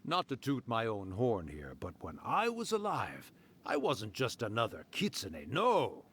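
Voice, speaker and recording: A man playing a mischievous, boastful fox-spirit yokai in a video game, with a theatrical, teasing, drawn-out delivery. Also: mid-range voice, huge pitch swings, a bit bright, a little hiss or hum. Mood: jaunty boasting. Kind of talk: video game character acting.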